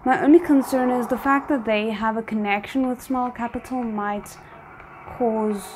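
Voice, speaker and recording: Higher-pitched woman giving casual reactions. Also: steady tone, moderate pace, darkish tone, a little hiss or hum, low-quality recording. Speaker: reaction video host. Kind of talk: casual spontaneous reaction commentary.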